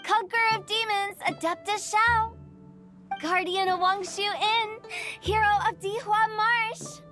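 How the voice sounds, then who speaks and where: A woman's voice: very high-pitched, steady tone, a little slow, sharp tone, full character voice. A woman voicing a playful, cheeky young funeral parlor director in a game, perky and teasing. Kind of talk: animated game cutscene voice acting. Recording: music under the voice.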